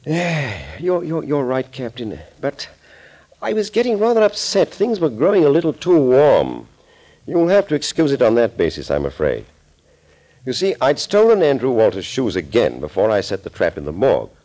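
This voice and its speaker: An actor playing a suave, smug villainous doctor in a 1940s radio thriller, speaking politely and wordily. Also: male voice, medium pitch, huge pitch swings, moderate pace, dark tone. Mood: rueful, fussy. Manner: polite, wordy, theatrical confessing.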